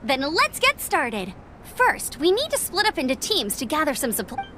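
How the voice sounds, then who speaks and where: A very high-voiced perky young adventurer. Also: female voice, wildly swooping pitch, normal speed, pushed and bright, a little hiss or hum, exaggerated voice. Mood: upbeat. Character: perky, friendly young female adventurer, eager and kind. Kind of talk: video game voice acting.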